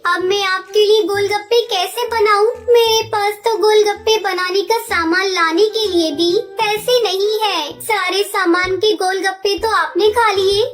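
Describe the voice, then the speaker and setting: A woman's voice: extremely high pitch, fairly even intonation, a little slow, hardly any pauses, a bit bright. A woman voicing a small, chatty female bird who sells street food, with a polite, pleading singsong manner in a children's cartoon. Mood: weary, complaining. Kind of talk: children's cartoon story dialogue. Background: music.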